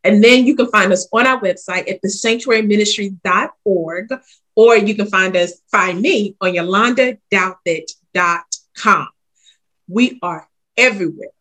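A woman's voice: medium pitch, normal speed, rough recording. Candid woman in her forties. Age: 40s. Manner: lively, talks straight from the heart, calls listener honey. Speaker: ministry leader, guest. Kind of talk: casual interview chat.

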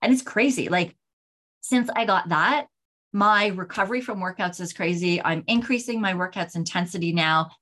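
A woman in her forties talks on her own podcast in a candid, rambling way. Her voice sits a little low. She keeps restarting and filling her sentences, and her pace swings between slow and hurried. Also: fairly bright tone. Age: 40s. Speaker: podcast host. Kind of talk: casual solo podcast talk.

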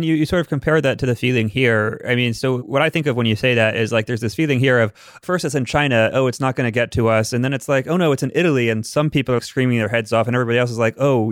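A man's voice: medium pitch, no echo, studio quality. A man asking questions as an interviewer in a casual, conversational way.

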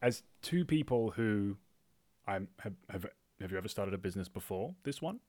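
A man's voice: fairly low pitch, animated, normal speed, darkish tone, no room sound. A man hosting a casual podcast interview, thinking aloud and rephrasing as he goes.

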